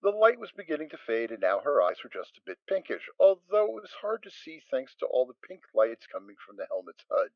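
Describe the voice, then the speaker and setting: A man reads the narration with lively, swinging intonation. His voice sits in a middle register. The recording is dry and a little dull. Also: mid-range voice, fairly quick, few pauses, dry recording. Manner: expressive storytelling. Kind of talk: dramatic story narration.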